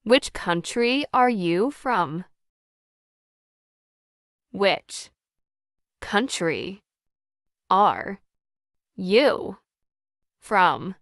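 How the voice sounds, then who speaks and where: A woman's voice: medium pitch, expressive, extremely slow, pauses often, fairly bright tone, no room sound, studio quality. A woman reading short English phrases for learners, first whole, then word by word, then whole again. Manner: careful word-by-word dictation. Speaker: English teacher. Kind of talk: slow model sentences for repetition drills.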